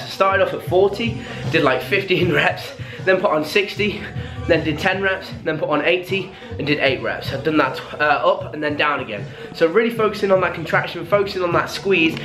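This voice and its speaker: Fast, high-pitched young British man. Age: early 20s. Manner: enthusiastic, informal. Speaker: fitness vlogger. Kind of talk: casual workout vlog explanation. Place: small brick garage home gym, indoors. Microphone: camera at arm's length or propped nearby. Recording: echoey small room, music behind. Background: music.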